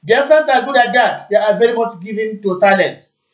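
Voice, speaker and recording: Echoey, high-voiced Nigerian Igbo radio host, male. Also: a little fast. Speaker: radio call-in show host. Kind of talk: live broadcast announcing and answering callers. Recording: echoey, distant, muffled internet radio stream.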